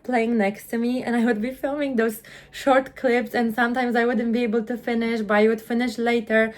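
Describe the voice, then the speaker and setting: A woman in her thirties with a Polish accent, telling her story as a guest in an interview, earnest and quick, often repeating the first word of a sentence. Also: on the high side. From Poland. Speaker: guest, content creator.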